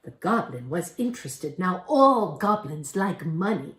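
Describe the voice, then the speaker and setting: Woman in her 60s, storybook reader, lively intonation, measured pace, roomy indoor echo. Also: on the low side. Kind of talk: expressive storybook narration. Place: room at home, indoors.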